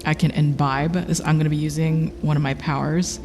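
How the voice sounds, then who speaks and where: A man describing his character's moves as a tabletop game player, halting and dramatic in his storytelling. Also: on the high side, level tone. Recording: long hall reverb, background music. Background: music.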